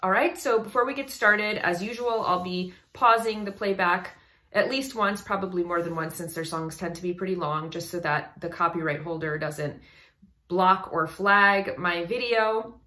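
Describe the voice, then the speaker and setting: Low-voiced woman vocal coach. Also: bright, forceful tone. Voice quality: low speaking voice. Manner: analytical, enthusiastic, talks over paused song playback. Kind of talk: reaction video commentary.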